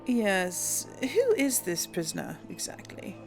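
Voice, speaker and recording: A woman's voice: mid-range voice, huge pitch swings, slow pace, soft background music. A woman playing a video game, chatting casually and laughing often, sometimes speaking as her character. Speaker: game streamer. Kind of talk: casual let's-play commentary with in-character lines.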